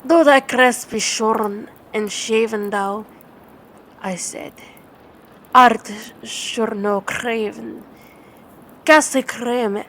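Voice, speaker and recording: A woman reading dramatic poetry as a sleep-aid ASMR narration, in a measured, theatrical way with halting stumbles and repeated words. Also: medium pitch, a bit bright, a little hiss or hum.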